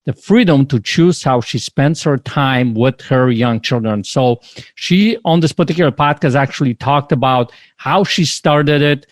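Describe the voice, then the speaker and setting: A man's voice: on the high side, no echo. A man hosting a podcast interview, upbeat and enthusiastic as he introduces his guest. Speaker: podcast host.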